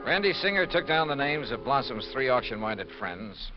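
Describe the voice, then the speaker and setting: A man acts a shrewd American radio detective, brisk and dryly probing. His delivery is clipped and wry, with widely swinging intonation. It sounds like a dull vintage 1950s broadcast with music underneath. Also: mid-range voice, big pitch swings, lightly acted. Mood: dry narration. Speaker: lead actor and narrator of a detective radio series. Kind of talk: 1950s radio detective acting with first-person narration.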